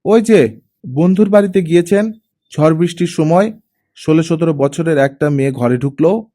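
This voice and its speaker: A man's voice: on the high side, moderate pace, dark tone, pitched above the performer's own voice, close to an everyday voice. A man voicing an earnest, naive teenage girl who speaks with insistent, childlike directness in an audiobook. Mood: hurt, accusing.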